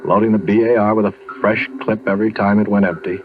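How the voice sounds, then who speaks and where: An actor playing a modest, solemn American soldier telling a war tale in an old radio drama. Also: male voice, fairly low pitch, flat delivery, quick pace, narrow, tinny audio, noisy background, lightly acted. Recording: band-limited old radio recording. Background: music.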